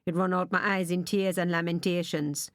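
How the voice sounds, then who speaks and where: A woman's voice: on the low side, little pitch movement, moderate pace, a bit bright, no echo, studio quality, close to an everyday voice. A woman voicing a bitter, resentful middle-aged man, brooding and scornful like a preacher, in an audiobook reading.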